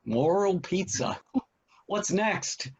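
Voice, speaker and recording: A man playing a cautious but kindly father of a grown son in a play reading, with hesitant, expressive delivery. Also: high voice, drawn-out words, husky, heavily acted. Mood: dismayed. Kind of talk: virtual table read of a stage comedy-drama. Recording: dull, lo-fi call audio.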